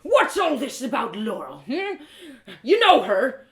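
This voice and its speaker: A woman's voice: high-pitched, huge pitch swings, unhurried, pitched above the performer's own voice, full character voice. A woman voicing an angry, bitter old male blacksmith with a menacing, threatening manner and rustic speech, as part of a storybook reading. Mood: suspicious, menacing. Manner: bellowing, threatening, rustic dialect. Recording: roomy echoing sound.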